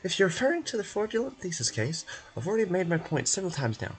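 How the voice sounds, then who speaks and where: A man's voice: high voice, big pitch swings, quick pace, pitched above the performer's own voice. A man voicing a pedantic, indignant contestant who is obsessed with rules in a video game. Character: huffy, argumentative rule-obsessed prison game contestant.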